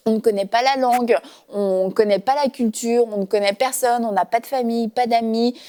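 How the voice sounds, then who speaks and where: A woman hosting a faith talk show from a studio set, chatty and warm with laughter, using many fillers. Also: on the high side, steady tone, fairly quick.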